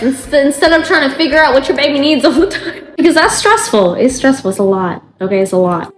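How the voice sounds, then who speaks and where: Young mom vlogger, high voice, chatty, keeps asking "okay?"; roomy echo, faint music underneath. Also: female voice. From Bangladesh. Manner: chatty, tags lines with "okay?", jumps high to quote her toddler. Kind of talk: casual chat to camera. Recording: echoey room with faint music.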